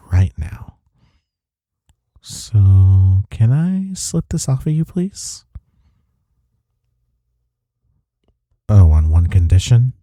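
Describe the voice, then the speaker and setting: Male actor for tender, teasing coworker love interest, audio roleplay; low voice, slow halting murmurs. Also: warm tone, dry recording, studio quality, heavily acted. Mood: flirtatious, teasing. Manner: hesitant, halting, breathy sighs and murmurs. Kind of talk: intimate romantic audio roleplay acting.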